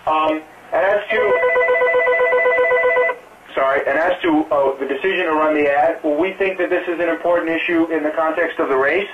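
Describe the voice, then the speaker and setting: Muffled, distant phone-line man, halting spokesman. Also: on the high side, fairly even intonation, background noise. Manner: halting, careful, hedging delivery. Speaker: political campaign spokesman. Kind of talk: press conference call, answering questions off the cuff. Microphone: telephone conference call.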